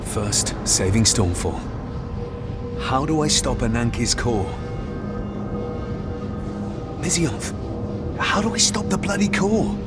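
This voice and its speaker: Brief: wary, determined young hero, terse questions, expressive delivery, game score behind. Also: male voice, mid-range voice, unhurried. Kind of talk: game cutscene voice acting. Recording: game audio over loud music.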